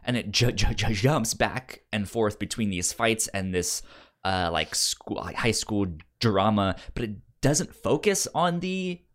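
A man co-hosting a casual book discussion, halting and often stammering over repeated syllables and words. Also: on the low side, animated, fairly bright tone. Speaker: podcast co-host discussing comics.

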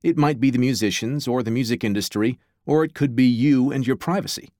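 A man's voice: medium pitch, fairly quick, dark tone, dry recording, studio quality. A man reading aloud from his own book on a podcast, with wry conversational asides.

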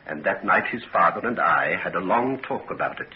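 An actor playing a grave, protective middle-aged father in a 1940s radio drama, speaking with solemn, deliberate earnestness. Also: low voice, animated. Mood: ominous. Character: grave, suspicious, protective father of a grown daughter. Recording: dull, noisy 1940s radio recording.

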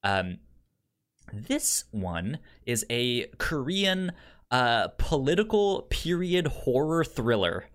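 A man with a pronounced stutter, speaking haltingly and casually as a podcast co-host pitching shows. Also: sing-song. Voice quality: pronounced stutter with repeated sounds and syllables.

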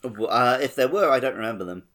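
A man's voice: medium pitch, animated, fast talker, bright, forceful tone. A man co-hosting a casual gaming podcast, chatting in a jokey, self-deprecating way. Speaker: podcast co-host. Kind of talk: casual chat about a video game.

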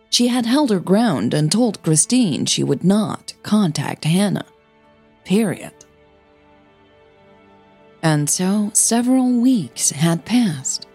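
Low-voiced, husky woman narrating fiction. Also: darkish tone, no room sound. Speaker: podcast host and narrator. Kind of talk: scripted audio fiction narration.

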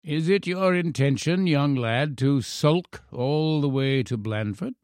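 A man voicing a frail, eloquent English professor in his eighties, formal, halting and playfully teasing, in a storybook reading. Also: on the high side, a little mellow, smooth, no echo, clean studio sound, pitched above the performer's own voice. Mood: gently reproving. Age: 80s. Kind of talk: storybook character voice.

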